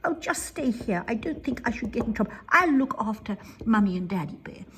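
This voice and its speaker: A woman voicing a small, timid bear cub who speaks high and nervously. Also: animated, fairly quick, slight background noise, close to an everyday voice.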